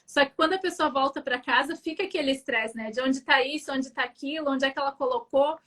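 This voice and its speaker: A woman's voice: high-pitched, fast talker, sharp tone. A woman guest, a professional organizer, patiently explaining her work with everyday examples in a video call interview.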